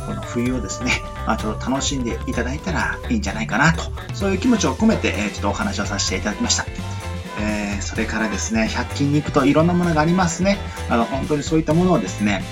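A man hosts a laid-back radio chat. His pitch moves around in a lively, animated way, and he pads his talk with fillers and polite turns of phrase. Soft guitar music plays along with him. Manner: chatty, frequent sentence-ending fillers, polite sign-offs. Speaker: radio show host. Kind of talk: casual amateur radio chat.

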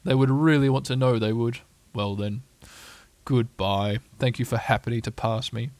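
A mournful storybook donkey, acted out by a man. He speaks slowly and with resignation, as if expecting to be forgotten, in a close, dry recording. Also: medium pitch, darkish tone, no echo, close to an everyday voice. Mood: gloomy, resigned. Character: gloomy, self-pitying male donkey, slow and mournful.

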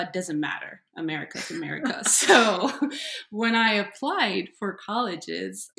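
A woman from Ethiopia telling her story as an interview guest, animated and laughing, with frequent fillers. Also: lowish voice, gravelly. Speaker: guest, entrepreneur.

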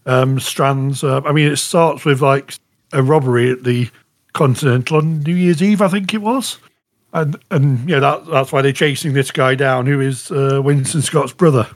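A man chatting casually as a podcast panellist, halting and rambling, often saying "you know". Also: medium pitch. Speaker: podcast panellist reviewing shows. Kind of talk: casual chat.

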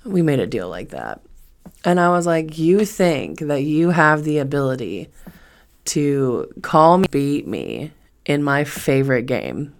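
A woman's voice: deep voice, sing-song, a little slow. A woman in her twenties chatting casually on a solo podcast, rambling and swearing freely with emphatic repetition. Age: 20s. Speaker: podcast host.

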